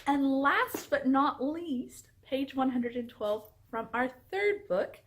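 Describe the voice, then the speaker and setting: A woman book vlogger chatting casually and reading aloud in an animated, expressive way. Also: high-pitched, slow pace.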